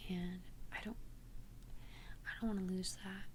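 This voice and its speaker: A woman playing an immortal young vampire in an ASMR roleplay, confiding tenderly in a breathy whisper with a hesitant, earnest manner. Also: lowish voice, level tone, a little hiss or hum, close to an everyday voice. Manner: hesitant, halting, earnest confession.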